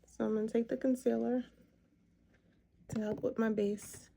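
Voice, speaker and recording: A woman's voice: on the high side, level tone, moderate pace, creaky, warm tone, slight background noise. A woman in her thirties chatting casually as she does her makeup, rambling with lots of ums. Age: 30s. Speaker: makeup vlogger. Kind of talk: casual chat while doing makeup.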